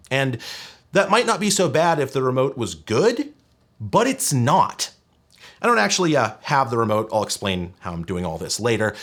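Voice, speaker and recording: A man presenting an explainer video in an emphatic, wry, opinionated way, as if talking a viewer through a gadget review. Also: mid-range voice. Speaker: presenter of a retro tech review channel. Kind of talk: semi-scripted explainer video narration.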